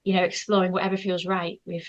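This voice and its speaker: Gentle, hesitant woman. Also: fairly low pitch, flat delivery, fast talker, dull audio. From North Yorkshire, England. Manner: shy, gentle, hesitant with many ums. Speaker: guest artist. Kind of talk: casual interview chat.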